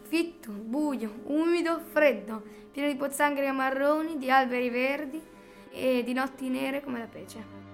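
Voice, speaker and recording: A woman's voice: sits high, a little slow, music in the background. A woman reading a scripted radio description, setting out words one by one.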